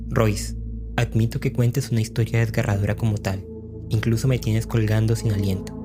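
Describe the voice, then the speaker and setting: Male reader as forceful, disbelieving gentleman skeptic in an audio story, lowish pitch, music underneath. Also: level tone, normal speed, lightly acted. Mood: incredulous. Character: blunt, explosive male skeptic interrupting a storyteller.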